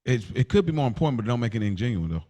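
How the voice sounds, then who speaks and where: Male podcast co-host, medium pitch with lively swings. Casual debater who keeps clarifying and rewording his argument. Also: rapid-fire. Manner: explanatory, restates and clarifies his point.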